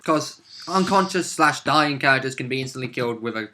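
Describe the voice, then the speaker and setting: A man's voice: medium pitch, lively intonation, a little fast, sharp tone, slight background noise. A man casually talking through his turns as a player in a tabletop role-playing game.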